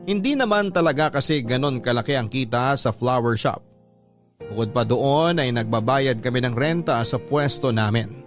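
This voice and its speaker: A man reading a heartfelt letter as scripted radio storytelling, measured and reflective. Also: medium pitch, soft background music, natural voice. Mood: earnest, reflective. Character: letter sender's story read warmly by male radio narrator.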